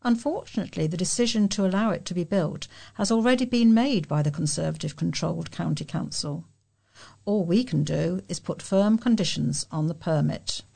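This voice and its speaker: A British woman reads local news articles aloud. Her voice sits a little lower than most women's, and she delivers prepared text as a volunteer reader. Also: a little fast, gravelly, darkish tone, husky.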